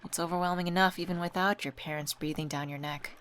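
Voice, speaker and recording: A woman voice actor playing a supportive, gently teasing young best friend in a scripted ASMR roleplay, talking casually and intimately. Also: on the low side, steady tone, fast, slight background noise, lightly acted. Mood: reassuring.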